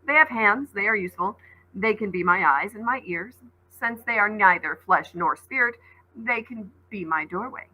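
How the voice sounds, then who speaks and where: A woman's voice: medium pitch, animated, moderate pace, sharp tone, rough recording. A woman voicing a sinister, boyish supernatural trickster in a storybook, taunting and smug in a theatrical way. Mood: smug, dismissive.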